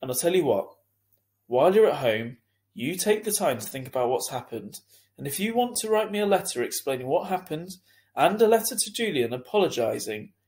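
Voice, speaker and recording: A man playing an earnest, lenient school head in a children's story, reasoning in a measured, hesitant way. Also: a little high, darkish tone.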